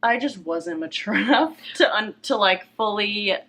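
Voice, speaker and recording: A woman's voice: medium pitch, animated, normal speed, a bit bright. A young woman book vlogger chatting casually and informally, often hesitating as she searches for words. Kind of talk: casual chat.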